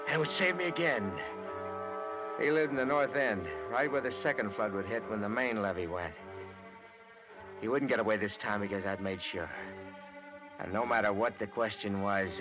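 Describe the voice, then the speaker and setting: A man acting a desperate, scheming killer in a vintage American radio noir. His mid-pitched voice broods in short, hard-boiled phrases. The recording is old and muffled, with clear music underneath. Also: natural voice. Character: desperate, scheming guilty man narrating his own crime.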